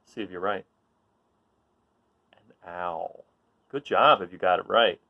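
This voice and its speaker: A man reading a children's picture book aloud in an informal, expressive way, putting questions to young listeners and encouraging them. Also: sits low, slow pace, dull audio. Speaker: read-aloud storyteller.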